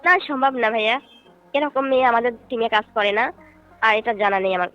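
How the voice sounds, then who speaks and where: A woman's voice: on the high side, quick pace, sharp tone, clean tone. A woman playing a courteous folk singer and troupe leader in a staged phone call, speaking in a polite, questioning, explanatory way. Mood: firm, refusing. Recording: dull phone-call audio with music behind.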